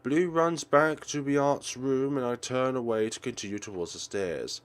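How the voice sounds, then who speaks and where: A man hosting a let's play, reading visual novel narration aloud in an expressive way. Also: medium pitch, moderate pace, clear, resonant tone.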